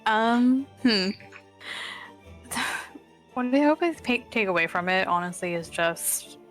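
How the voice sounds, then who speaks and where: A woman's voice: medium pitch, animated, takes it slowly, soft background music. A woman game artist chatting casually in a Q&A, bashful, self-effacing and prone to nervous giggles. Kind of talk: casual Q&A chat.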